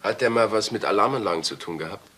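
An actor playing an eager police inspector in a German crime radio play, brisk, direct and full of questions. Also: male voice, fairly low pitch, pushed and bright. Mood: factual. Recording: faint noise and clicks, older radio play.

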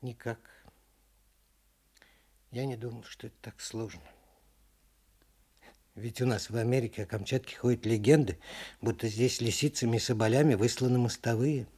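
Man acting a polite humble american office clerk in a radio play, bit raspy. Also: mid-range voice, fairly even intonation, moderate pace, long pauses, darkish tone, natural voice. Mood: humble, earnest.

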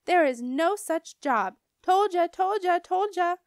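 A scornful young boy bully from a children's storybook, acted by a woman. The voice is high and raised, with an insistent, mocking edge made for teasing classmates. The sound is close and dry. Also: lively intonation, measured pace, no room sound. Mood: gloating. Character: mean, taunting schoolboy bully. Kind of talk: children's audiobook character voice.

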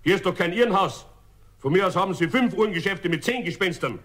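Grumpy bavarian desk cop, officious, highish husky voice, kids radio play. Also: male voice, lively intonation, quick pace, a bit bright. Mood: exasperated. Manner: brusque, officious. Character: gruff, exasperated police sergeant on desk duty. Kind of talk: children's radio play acting.

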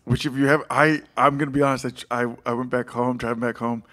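A man's voice: mid-range voice, level tone, fast talker, a bit bright. A man hosting a casual interview, reacting with enthusiastic amazement. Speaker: podcast host.

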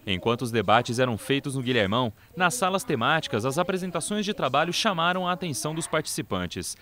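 A man's voice: medium pitch, sing-song, brisk, a bit bright. A man with a Brazilian accent reading scripted news narration as a TV reporter.

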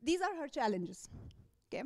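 A woman's voice: high-pitched, sing-song, normal speed, darkish tone, no echo, clean studio sound. A woman giving a live conference talk. She speaks conversationally, with many fillers and restarts, and puts questions to the room.

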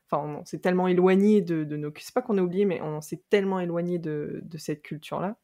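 A woman's voice: on the low side, fast talker. A woman hosting a podcast interview, talking conversationally with frequent hesitations and easy laughter. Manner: warm, laughs easily, hesitant restarts. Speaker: podcast host.

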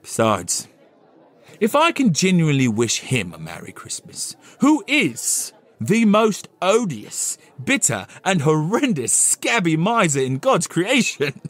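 A man playing a cheerful, good-natured young gentleman with a hearty, chuckling, animated manner in an audio play. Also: on the high side, unhurried, voice pushed up, exaggerated voice. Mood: warm, amused. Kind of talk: theatrical audio play of a classic novel.

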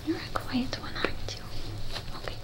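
A young woman playing a patient robot repair technician in a soothing, soft-spoken ASMR roleplay. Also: lightly acted. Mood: patient, questioning. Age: young adult. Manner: soothing, slow, reassuring. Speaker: ASMR performer. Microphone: close microphone.

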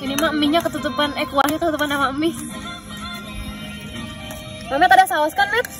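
A woman's voice: high-pitched, a little fast. A woman chatting casually to her viewers while camping outdoors, with music playing behind her. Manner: chatty, addresses viewers directly. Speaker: camping vlogger. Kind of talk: casual vlog chat to camera. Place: outdoors at a misty hill campsite and in a tent. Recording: talk over loud background music.

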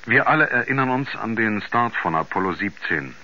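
An actor playing a writer soberly recounting his astronaut friend's story in a 1970s radio drama, in a measured, grave manner. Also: lowish voice, expressive, bright, forceful tone, dull audio, slight background noise, exaggerated voice. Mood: sober, foreboding. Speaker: narrator. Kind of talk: 1970s German radio play narration. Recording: band-limited 1970s radio recording with music.

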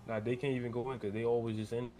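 A young man talking casually in street slang in an online game roleplay, halting and repeating words. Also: mid-range voice, level tone, over a noisy crowd. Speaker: player in a GTA roleplay server. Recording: background noise on game voice chat.

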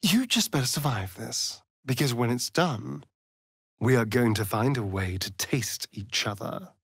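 A man with a British accent and a breathy voice playing a vain, sardonic, flirtatious vampire spawn in a video game, with an arch, mocking drawl. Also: mid-range voice, expressive, unhurried, no echo, clean studio sound, full character voice. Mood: impressed, flirtatious. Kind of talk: theatrical video game character acting.

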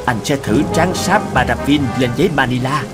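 A man's voice: mid-range voice, moderate pace, lightly acted. A man playing an eager, inventive middle-aged husband in a cartoon, musing expressively. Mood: excited, hopeful. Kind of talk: cartoon dubbing. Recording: clear music and rain behind.

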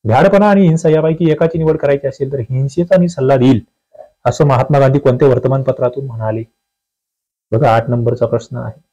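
A man's voice: medium pitch, fairly quick, warm tone, low-quality recording. A man teaching an exam quiz lesson, reading questions aloud and explaining answers conversationally, often putting questions to students.

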